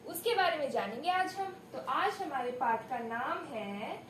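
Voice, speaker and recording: Female primary teacher, animated melodic delivery, theatrical classroom storytelling, recorded in an echoey, slightly noisy room. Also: high-pitched. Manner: theatrical, rhetorical questions, deliberate emphasis. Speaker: primary school teacher giving a demo lesson. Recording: echoey room with background noise.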